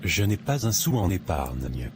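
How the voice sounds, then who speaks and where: A man playing a vain, broke young office worker who lives beyond his means in an animated moral tale. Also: low-pitched, a little slow, background noise. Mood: worried.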